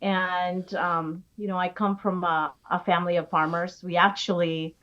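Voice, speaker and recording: A woman from the Philippines talking as a podcast guest, rambling warmly with many fillers. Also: lowish voice, level tone, normal speed, lo-fi sound. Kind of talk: casual interview.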